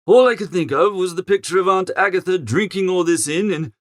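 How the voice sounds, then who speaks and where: Animated high-voiced male audiobook narrator. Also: fairly quick, dry recording, clean studio sound. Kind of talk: animated first-person comic story narration.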